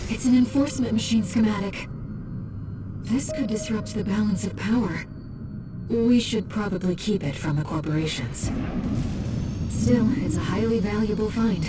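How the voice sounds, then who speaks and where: A woman voicing a composed, gently curious companion who guides a mech pilot in a video game, speaking in a measured, precise, softly earnest way. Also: medium pitch, close to an everyday voice. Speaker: mission support. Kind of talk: scripted video game dialogue. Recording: distant voice under loud music.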